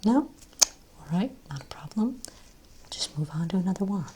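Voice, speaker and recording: A woman with a low, soft, breathy voice that often slips close to a whisper. She plays a shopkeeper in an ASMR role play, talking gently and without hurry in a reassuring way. A faint layer of noise sits behind the voice. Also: animated, moderate pace. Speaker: ASMR role-play performer as wand shop owner. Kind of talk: soft-spoken ASMR role play, improvised sales patter.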